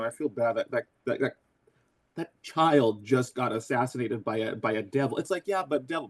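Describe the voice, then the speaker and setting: A man who voices a cartoon character, talking in a casual interview, excitable and crude, joking and restarting often. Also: mid-range voice. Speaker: voice actor guest.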